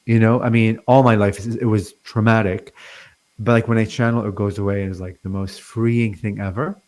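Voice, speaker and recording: Brief: man, forties, born in Lebanon, halting speech with a stutter, remote guest. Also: on the low side, fairly even intonation, darkish tone, rough recording. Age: 40s. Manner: halting, many fillers and restarts, stutters. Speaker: guest channeler. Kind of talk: casual interview talk.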